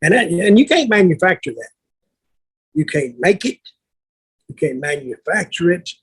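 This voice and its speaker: An older man with a husky, raspy voice that sits a little high. He tells anecdotes casually, like a veteran on a podcast, blunt and salty, slipping into remembered exchanges. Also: big pitch swings, normal speed, a bit bright. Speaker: veteran wrestler telling stories on a podcast.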